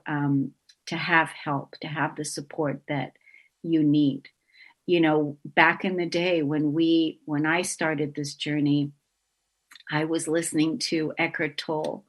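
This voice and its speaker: A woman guest-hosting a spiritual talk radio show, speaking in a reflective, earnest way with frequent ums, over a remote call. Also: deep voice. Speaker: guest host.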